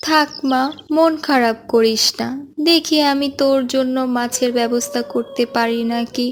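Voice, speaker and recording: Woman as a high-voiced devoted village mother. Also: steady tone, moderate pace, slight background noise, close to an everyday voice. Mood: tender, resolved. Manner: plaintive, tender, determined. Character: anxious, devoted poor widowed village mother. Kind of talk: Bengali cartoon fairy tale acting. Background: music.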